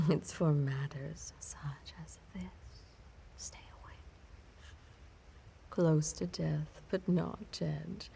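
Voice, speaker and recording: Vampire mistress , played by a woman: unhurried, deep female voice, whispery, commanding, possessive, theatrical menace for audio roleplay. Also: darkish tone, a little hiss or hum, heavily acted. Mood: imperious. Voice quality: breathy, often whispered, creaky. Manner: slow, theatrical, imperious. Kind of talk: breathy audio roleplay monologue to listener.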